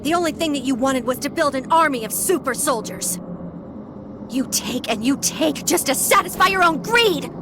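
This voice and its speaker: A woman playing a fierce, indignant young rebel in a video game cutscene, declaiming forceful accusations. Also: high-pitched, lively intonation, normal speed, background noise. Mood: outraged.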